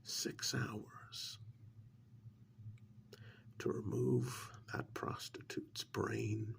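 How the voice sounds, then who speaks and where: A man playing a sly, insinuating interrogator in an ASMR role-play, speaking in a slow, deliberate, soft whisper with mock admiration and dramatic pauses. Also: fairly low pitch, level tone, heavily acted. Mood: quiet insinuation. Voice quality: breathy whisper with gravelly creak. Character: sly, insinuating male interrogator needling a mad scientist.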